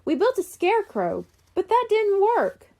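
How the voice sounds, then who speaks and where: A woman's voice: very high-pitched, huge pitch swings, a little slow, warm tone, voice pushed up, exaggerated voice. A woman voicing an observant, playful young girl in a children's storybook, explaining things in a matter-of-fact way. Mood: earnest.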